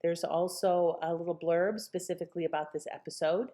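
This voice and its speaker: A woman's voice: lowish voice, steady tone, brisk, mellow. A woman casually commenting on an old radio episode she has presented, rambling a little with fillers.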